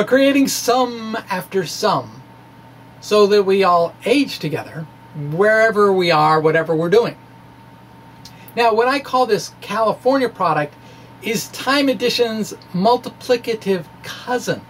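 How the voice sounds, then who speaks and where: A man giving a dramatic, conversational reading as a physics explainer, with wry asides and a halting delivery. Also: sits high, fairly bright tone, a little hiss or hum. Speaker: amateur physics presenter.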